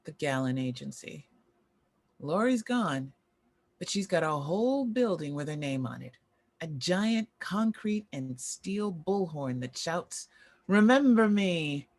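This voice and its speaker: A woman playing a bitter, sharp-tongued former child star in a drama table read. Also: deep voice, animated, takes it slowly, heavily acted. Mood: resentful.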